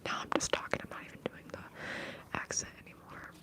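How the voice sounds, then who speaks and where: A woman with a Minnesotan accent whispers breathily in a rambling, conversational ASMR livestream, chatting to her viewers. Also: brisk. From Minnesota, US upper Midwest. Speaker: livestream host.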